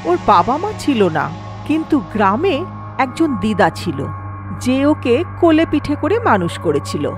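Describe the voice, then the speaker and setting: A woman narrating a scripted horror story in a dramatic storytelling manner. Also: a little high.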